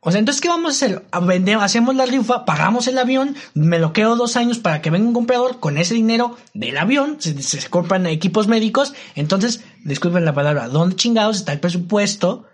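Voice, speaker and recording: A young man with a Mexican accent talks in a casual, slangy way, with lots of fillers and indignant asides. His voice sits high for a man. He sounds like he is chatting with friends rather than presenting. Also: lively intonation, fairly quick, hardly any pauses. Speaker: co-host. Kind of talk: casual chat.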